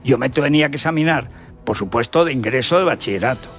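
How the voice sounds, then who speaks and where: A man's voice: medium pitch, animated, fast, fairly bright tone. Older indignant Spanish man over music. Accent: Spanish from Spain. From Spain. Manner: indignant, colloquial, rhetorical questions. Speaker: radio commentator and host. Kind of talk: opinionated radio monologue.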